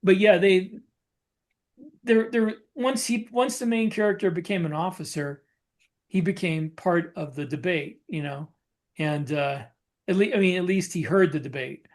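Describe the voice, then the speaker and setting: A man co-hosting a podcast and talking shop as a novelist, explaining casually in a halting way and reworking his phrases. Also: sits high. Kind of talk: casual discussion of writing military science fiction.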